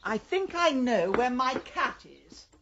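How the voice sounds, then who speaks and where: A sharp, defiant middle-aged Englishwoman turned amateur sleuth. Her high voice swings widely as she snaps out brisk lines in radio drama style. The sound is slightly muffled with some background noise. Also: expressive. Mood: brisk, curt. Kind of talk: British radio drama acting.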